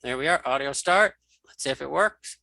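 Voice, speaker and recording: Bright-voiced man teaching software casually. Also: fairly high pitch, animated, brisk, vocal fry, no room sound. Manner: informal, thinking aloud while demonstrating. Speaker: screen reader tutorial instructor. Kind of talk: casual spoken software walkthrough.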